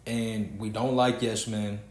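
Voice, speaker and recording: A man's voice: fairly low pitch, little pitch movement, takes it slowly. Preachy young Texan man in an echoey room. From Houston, Texas. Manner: preachy, emphatic, swearing freely. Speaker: podcast host. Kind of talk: casual podcast rant.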